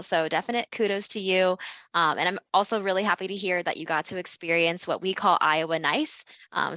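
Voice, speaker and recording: A woman hosting a campus interview, upbeat and full of ums and likes. Her voice is lowish but bright and forceful. The sound is dry and slightly muffled. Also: dry recording. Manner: upbeat, many fillers like um and like. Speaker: university international admissions host.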